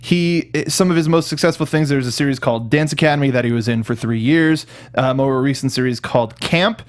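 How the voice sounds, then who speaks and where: A man's voice: mid-range voice, a little fast. A man co-hosting a film review show, chatting casually and conversationally, sometimes acting out imagined lines. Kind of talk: casual chat breaking down a movie.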